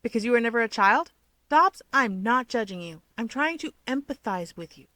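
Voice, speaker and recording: A woman's voice: fairly high pitch, animated, moderate pace, fairly bright tone, no room sound. A woman voicing a cocky, insistent young male hero from a fan story, with a taunting, emphatic delivery. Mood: exasperated, taunting.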